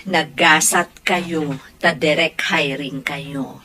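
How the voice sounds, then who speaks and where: A woman's voice: sits low, fairly even intonation, takes it slowly, bright, forceful tone, heavily acted. A woman voicing a proud mother who teases her grown daughter. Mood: teasing.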